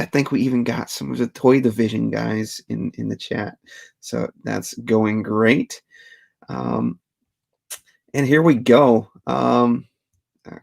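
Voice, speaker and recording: A man's voice: mid-range voice, vocal fry. A man, hosting a live question-and-answer stream, chatting casually and chuckling as he reads viewer comments. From Belgium. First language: Dutch. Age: 30s. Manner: chatty, chuckles often, restarts words. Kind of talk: casual livestream chat reading viewer comments.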